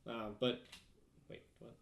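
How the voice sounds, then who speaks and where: A man's voice: medium pitch, flat delivery, takes it slowly, creaky, a little hiss or hum. A man co-hosting a casual discussion, explaining technical details in an informal way. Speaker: podcast co-host. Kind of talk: casual explanatory discussion of gaming and tech news.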